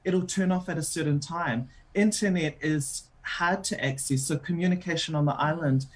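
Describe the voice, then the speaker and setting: Low-voiced woman with a New Zealand accent. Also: normal speed, slightly rough. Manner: chatty, many filler ums. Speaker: radio show host, guest. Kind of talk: radio interview.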